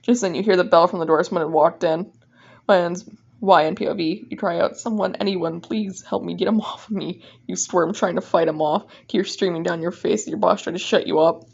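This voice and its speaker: A woman's voice: fairly low pitch, fairly even intonation, fairly quick, vocal fry, husky. A woman casually reading her own fan fiction aloud, informally breaking off to comment on it. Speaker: fan fiction writer and reader. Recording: dull, lo-fi microphone sound.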